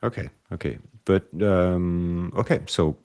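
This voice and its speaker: A man hosting a podcast, asking questions in a casual, unscripted way with hesitant, halting phrasing. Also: low voice, a little mellow, smooth. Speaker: podcast host, interviewer.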